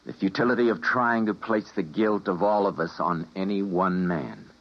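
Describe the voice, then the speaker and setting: An actor playing an earnest male scientist, who narrates in a 1950s radio drama, with a husky voice. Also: low voice, moderate pace. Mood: grave. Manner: intense, emotional delivery. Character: doomed male time-travelling scientist, earnest. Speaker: physicist and narrator. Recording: dull vintage radio broadcast.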